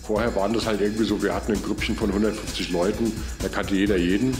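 A man's voice: fairly low pitch, steady tone, quick pace. A man giving a spontaneous, conversational interview recollection. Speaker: interviewee recalling the techno scene. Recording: echoey room under loud music.

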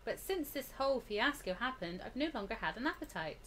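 A woman's voice: a little high, sing-song, brisk, sharp tone, a little hiss or hum. A woman hosting a game playthrough, switching between reading aloud and casual chat. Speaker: gaming video host. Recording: game music behind the voice.

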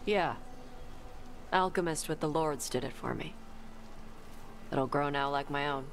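A woman playing a blunt young horned warrior in a video game, terse and understated, with a gruff manner. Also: low voice, unhurried, slight background noise. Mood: subdued.

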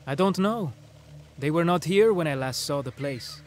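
Man acting an earnest young hero, expressive pitch. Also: normal speed, background noise, close to an everyday voice. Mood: earnest, wary. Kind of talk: video game cutscene acting.

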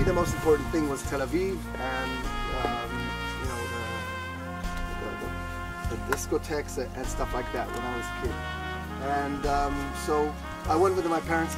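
A man's voice: medium pitch, low-quality recording. A man in his thirties from Israel, talking casually and jokingly to a tour group on a hilltop lookout, with many fillers and restarts. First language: Hebrew. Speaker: local guide. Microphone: far camera.

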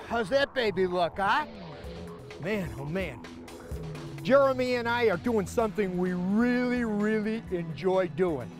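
A middle-aged American man from Minnesota hosting a fishing show with casual commentary out on a boat. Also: high voice. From Minnesota, United States. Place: on an open fishing boat on a lake.

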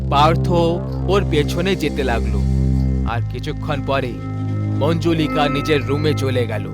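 A man narrating a scripted ghost story in a dramatic, suspenseful manner with pauses. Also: on the high side. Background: faint background music.

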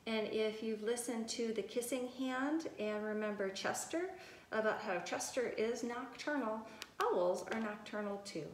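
A woman with a slightly high voice speaks as a preschool teacher doing a read-aloud. Her phrasing is simple and expressive, aimed at small children. The room is very echoey, with some faint noise. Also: a little slow. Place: indoor room with tiled wall. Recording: echoey room with noticeable hiss.

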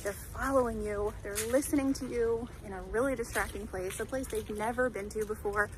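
A woman dog trainer explaining training methods in a clear, conversational way while walking a dog outdoors by a creek in a town center. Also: on the high side, brisk, low-quality recording. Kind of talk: instructional walk-and-talk. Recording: outdoor street noise.

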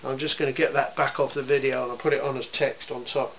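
A man giving an informal, matter-of-fact hands-on demonstration in a workshop, speaking in a measured way. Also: medium pitch, little pitch movement, a bit bright. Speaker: welding demonstrator. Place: indoor cluttered workshop. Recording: hissy, noisy amateur camera sound.

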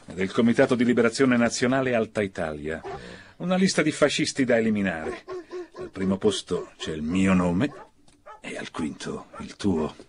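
A defiant, cynical Fascist militiaman in a radio drama. His low voice swings with vehement, sneering emphasis, as if sharing dirty secrets. There is faint noise behind him. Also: very animated, normal speed. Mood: defiant, sardonic.

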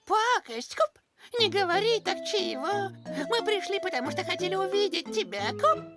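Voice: extremely high pitch, lively intonation, moderate pace, loud music behind the voice. An actor voicing a silly, eager crocodile sidekick in a children's cartoon, tacking a verbal tic onto phrases. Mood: lovestruck.

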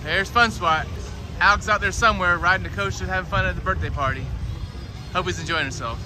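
A man's voice: sits high, fairly quick, poor audio. A man in his forties chatting to viewers while filming a walking tour outdoors, camera at arm's length, with jokes and asides. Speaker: travel vlogger. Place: outdoors at a tourist shopping street. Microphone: handheld camera at arm's length. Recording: outdoor walk with loud music behind.

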